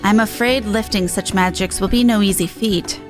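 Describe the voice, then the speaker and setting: A woman's voice: medium pitch, fairly even intonation, moderate pace, music in the background, lightly acted. A woman voicing a learned magical scholar in a video game, lecturing in a formal, pedantic way. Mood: scholarly, thoughtful. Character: learned, unhurried magical scholar and master creature. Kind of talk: video game quest dialogue read aloud.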